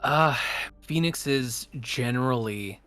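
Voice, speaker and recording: A man narrating as game master of a tabletop game, halting and full of fillers. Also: mid-range voice, a bit bright. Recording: faint background music.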